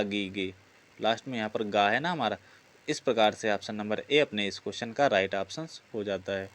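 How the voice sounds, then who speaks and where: Male teacher quizzing and explaining. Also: mid-range voice. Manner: explains through repeated rhetorical questions he answers himself. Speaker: Hindi grammar teacher for exam preparation. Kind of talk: lesson working through practice questions.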